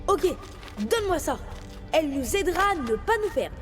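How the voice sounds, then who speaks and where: A woman's voice: high voice, big pitch swings, normal speed. A woman voicing a brave young leopard cub, a determined and kind leader in a French cartoon dub, earnest and youthful. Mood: encouraging. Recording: faint music behind the voice.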